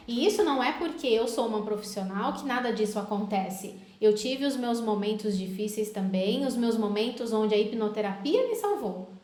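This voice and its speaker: Woman therapist talking with a guest, a bit high pitched, lots of "right?", echoey room. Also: darkish tone. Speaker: hypnotherapist host. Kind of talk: casual interview chat. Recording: roomy echo.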